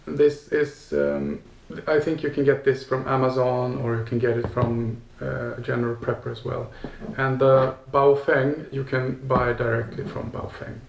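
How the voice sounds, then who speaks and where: Male video host, gravelly creak, casual hesitant explainer delivery, echoey room. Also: mid-range voice, unhurried, a little hiss or hum. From Sweden. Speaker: video host and gear reviewer. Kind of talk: casual product explainer to camera.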